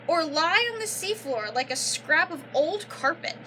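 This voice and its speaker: High, bright, animated young woman reading aloud. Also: a little slow, a little hiss or hum, low-quality recording. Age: young adult. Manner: instructive, prompting listeners with questions and affirmations. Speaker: student teacher reading aloud. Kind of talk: children's picture book read-aloud with explanations and questions.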